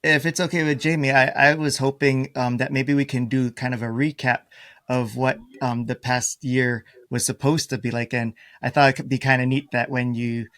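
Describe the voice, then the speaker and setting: Man, medium pitch, podcast host, casual chat, informal, slightly tentative. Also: little pitch movement, moderate pace, a bit bright. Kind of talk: casual conversational interview.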